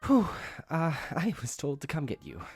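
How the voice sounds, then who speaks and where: A man's voice: on the high side, huge pitch swings, moderate pace, darkish tone, slightly rough, faint music behind, exaggerated voice. A man playing a cheeky young dragon messenger in an audio drama, reedy and offhand, flippant and a bit impatient. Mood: nervous, offhand.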